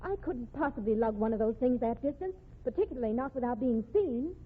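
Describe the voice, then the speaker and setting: High, husky mystery woman. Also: a little fast. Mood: defensive. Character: poised, articulate woman suspect in a murder mystery. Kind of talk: vintage radio mystery acting. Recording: tinny, narrow-band old radio recording.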